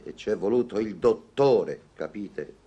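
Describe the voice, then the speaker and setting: Flustered egoistic gentleman, lovestruck, awkward, theatrical, hesitant, lively swooping pitch, old radio comedy. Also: measured pace, husky, lo-fi sound. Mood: troubled, tender. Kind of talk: vintage Italian radio comedy acting.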